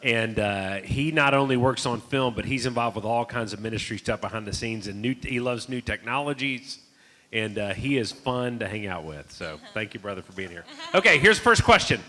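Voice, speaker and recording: A man moderating a panel, introducing the guests warmly and posing clear questions. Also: medium pitch, expressive, normal speed.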